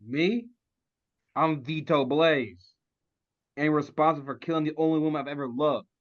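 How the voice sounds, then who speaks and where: A man's voice: fairly high pitch, normal speed, muffled recording, close to an everyday voice. A man reading the part of a hot-headed, profane action-movie hero in a comedy table read. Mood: hurt, defiant.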